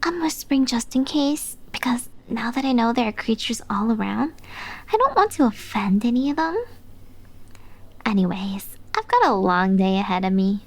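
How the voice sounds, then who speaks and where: An actress plays a chatty, curious young woman traveler talking to herself in an audio drama. Her voice is fairly high and animated, with vocal fry. The delivery is slow and musing. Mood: whispered caution.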